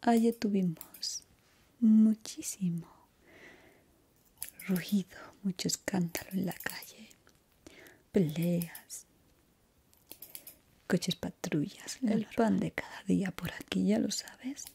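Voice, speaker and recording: A young woman whispering softly and breathily in a slow, soothing ASMR roleplay as a barber. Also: medium pitch, gravelly, slightly rough. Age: young adult.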